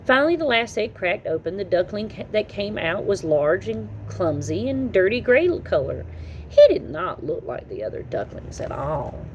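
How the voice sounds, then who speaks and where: A woman's voice: medium pitch, big pitch swings, moderate pace, darkish tone, highs cut off. Woman reading a storybook, noisy recording. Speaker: children's story reader and channel host. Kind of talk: expressive read-aloud storybook narration. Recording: noticeable background noise.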